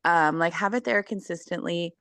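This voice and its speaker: A woman's voice: lowish voice, moderate pace, a bit bright, smooth, no room sound. A woman who is losing her voice, sharing her story as a network marketing guest on a video call.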